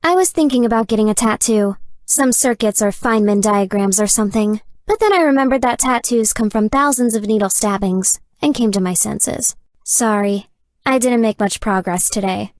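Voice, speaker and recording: A woman voicing a dry, deadpan, nerdy young gamer girlfriend in a dating game. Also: mid-range voice, moderate pace, dry recording, close to an everyday voice. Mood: dry, sardonic. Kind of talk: scripted dating game character dialogue.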